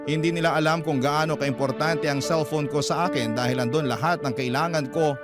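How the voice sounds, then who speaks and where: A man's voice: on the high side, steady tone, a little fast, lightly acted. A man with a Filipino accent reading out a dejected schoolgirl's letter as dramatic radio narration. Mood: hurt, forlorn. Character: dejected schoolgirl letter-writer telling her own story. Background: instrumental music.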